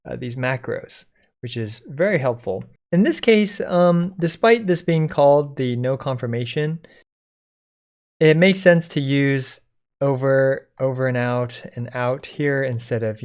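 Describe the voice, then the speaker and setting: Casual tutorial guy with a creaky voice. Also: medium pitch, darkish tone. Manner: informal, thinking aloud while typing. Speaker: software tutorial instructor. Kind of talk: casual code-along screencast narration.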